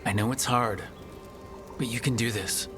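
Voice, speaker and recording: A man playing a steady, supportive hero and team leader in a video game, speaking in a calm, reassuring way. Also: medium pitch, normal speed, natural voice. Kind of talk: video game dialogue acting. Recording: clear game music behind the voice. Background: game music.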